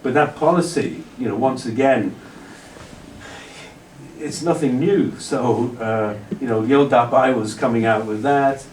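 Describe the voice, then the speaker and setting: A man's voice: medium pitch, measured pace, darkish tone, recorded from a distance, a little hiss or hum. A male lecturer answering an audience question at length, rambling with frequent restarts. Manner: discursive, many restarts and "you know". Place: lecture hall. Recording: roomy hall audio from afar.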